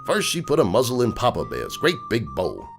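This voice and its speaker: A man playing a gruff, streetwise crook horse uncle who tells bedtime stories in a slangy, cynical, digressing way. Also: medium pitch, huge pitch swings, fairly quick. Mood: wry, matter-of-fact. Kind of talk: dramatic reading of a comic bedtime story. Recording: music bed behind the voice.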